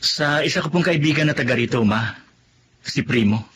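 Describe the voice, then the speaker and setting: An actor playing a dutiful, reassuring young adult son in a Filipino radio drama. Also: male voice, on the high side, moderate pace, a bit bright, a little hiss or hum, close to an everyday voice. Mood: respectful.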